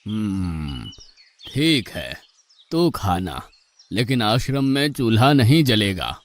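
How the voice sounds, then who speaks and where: A man voicing a pious, patient but gently exasperated ashram guru who coaxes in a measured way in a moral story. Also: fairly low pitch, very animated. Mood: resigned, coaxing. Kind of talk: animated moral story dialogue in Hindi.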